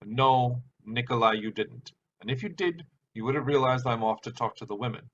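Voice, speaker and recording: A man voicing a sharp, assertive woman traveler with a curt, scolding manner. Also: mid-range voice, normal speed, husky. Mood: sharp, then softer. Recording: dull sound with background hiss.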